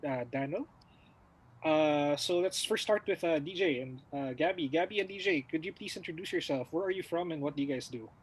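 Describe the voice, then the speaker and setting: A man's voice: on the high side, husky, low-quality recording. A man hosting a casual livestream talk show, welcoming guests with many hesitant fillers.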